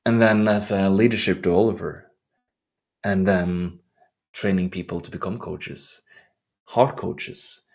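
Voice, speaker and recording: A middle-aged man with a Norwegian accent, a life coach speaking earnestly and softly in a reflective vlog, with unhurried, searching restarts. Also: low voice, steady tone, creaky. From Norway. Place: outdoors in the wilderness.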